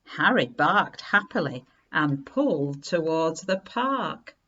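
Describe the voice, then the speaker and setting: Slow, lively woman reading a children's story. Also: low-pitched, highs cut off. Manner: unhurried, animated, dramatic emphasis. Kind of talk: expressive read-aloud children's story narration.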